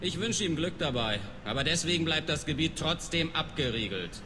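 An actor playing a dismissive alien mercenary guard in a video game, curt and contemptuous of humans. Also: male voice, fairly high pitch, lively intonation, normal speed, natural voice. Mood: matter-of-fact. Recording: reverberant game scene with clear background noise.

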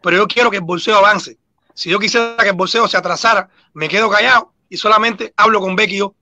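Earnest Cuban coach, Cuban accent, high-pitched and very bright, close dry mic. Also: male voice, fast, dry recording, dull audio. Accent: Cuban Spanish. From Cuba. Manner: earnest, emphatic, repeats himself. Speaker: boxing coach, guest. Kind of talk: panel discussion on a video call.

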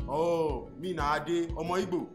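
A man's voice: fairly high pitch, measured pace, close to an everyday voice. A young Nigerian man playing a brash, teasing student in a Pidgin radio drama. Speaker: actor. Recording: music under the voice.

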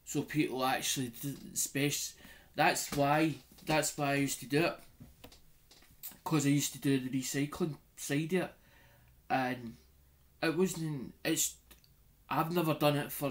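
A man's voice: mid-range voice, fairly even intonation, a little slow, pauses often. A man, vlogging casually from a small room at home into a webcam. He rambles and restarts his sentences often, with many "as in" asides. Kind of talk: casual vlog. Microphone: webcam in front of him.

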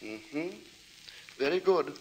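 An eccentric, pious gentleman, noisy old radio. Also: a little high, animated. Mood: formal, guarded. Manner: formal, precise, hesitant pauses. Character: eccentric, religious, weary gentleman lodger with a secretive edge. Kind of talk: 1940s radio drama acting.

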